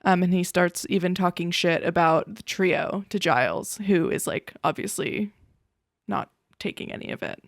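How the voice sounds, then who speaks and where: A woman's voice: fairly low pitch, normal speed, no room sound. A woman co-hosting a casual TV recap podcast, with a dry, joking way of talking. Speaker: podcast co-host.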